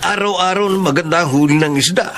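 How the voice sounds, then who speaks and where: A man playing a caring, hardworking middle-aged fisherman father in a Filipino radio drama, with a gravelly voice. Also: high-pitched, fast talker, fairly bright tone. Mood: hopeful. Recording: faint background noise.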